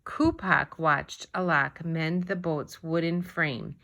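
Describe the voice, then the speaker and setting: A woman reading a children's story aloud, narrating expressively in deliberate, measured phrases. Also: low-pitched, fairly even intonation, creaky, bright, forceful tone. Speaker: storybook reader.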